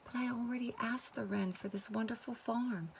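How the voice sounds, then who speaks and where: A woman's voice: on the high side, fairly even intonation, moderate pace, creaky, darkish tone, slight background noise, voice pushed up. A woman voicing a shy, dutiful, reluctant young peasant girl in a storybook.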